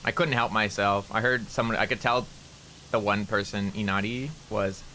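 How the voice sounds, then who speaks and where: A man with a fairly low voice and a bright, forceful edge rambles to his stream while he plays. His talk is loose and casual, full of "like" and restarts, with faint ambience behind him. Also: fairly even intonation, moderate pace, a little hiss or hum, rough recording. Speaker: VTuber streamer. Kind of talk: casual stream chat while playing a game.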